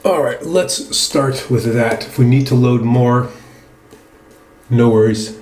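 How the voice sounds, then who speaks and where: Male vlogger, medium pitch, casual unhurried review talk, roomy echo. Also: darkish tone, a little hiss or hum. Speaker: presenter of a wet shaving video. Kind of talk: casual product review chat while shaving. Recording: roomy, echoing indoor sound.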